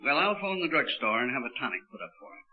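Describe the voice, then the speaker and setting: An actor playing an unhurried, wry middle-aged family doctor in a 1940s radio sitcom. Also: male voice, medium pitch, sing-song, close to an everyday voice. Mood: wry, reassuring. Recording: muffled old radio recording, loud audience.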